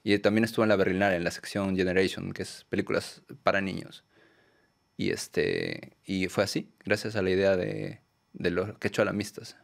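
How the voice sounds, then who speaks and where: A Peruvian man, a documentary filmmaker, answering interview questions in a soft, hesitant way with many fillers and tag questions. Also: sits low, animated, normal speed, creaky. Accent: Peruvian Spanish. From Peru.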